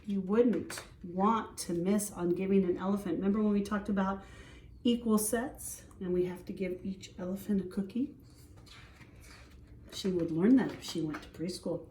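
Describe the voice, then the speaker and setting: A woman's voice: mid-range voice, moderate pace, dark tone, slight background noise. A woman teacher reading a picture book aloud, chatting conversationally with young listeners. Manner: conversational, addresses child listeners. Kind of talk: picture-book storytelling with asides. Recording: roomy echo, distant from mic.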